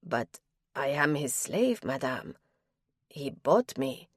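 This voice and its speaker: A woman voicing a fearful young maid who speaks timidly and quietly. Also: a bass voice, big pitch swings, takes it slowly, breathy edge, no room sound, studio quality, pitched below the performer's own voice, full character voice. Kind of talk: audiobook character voice.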